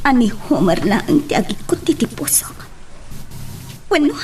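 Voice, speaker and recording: A woman's voice: a little high, very animated, unhurried, a little mellow, slight background noise. A woman playing a distressed young woman in love in an Ilocano radio drama, with an Ilocano accent. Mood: sorrowful, hushed. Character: distressed young woman torn between two suitors.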